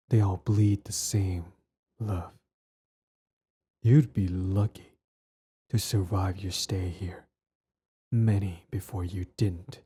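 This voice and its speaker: A man playing a cold, menacing young villain prince in an ASMR roleplay, with a taunting, condescending drawl and soft threats that sink toward a whisper. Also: lowish voice, exaggerated voice. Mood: menacing, smug. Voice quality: husky, breathy, dropping into whispers.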